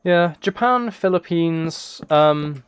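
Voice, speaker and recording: A man talking casually and softly as a live streamer, thinking aloud in a rambling, relaxed way while he plays a game. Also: on the high side, slow pace, a little hiss or hum. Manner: soft-spoken, relaxed. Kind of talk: casual livestream chat while gaming.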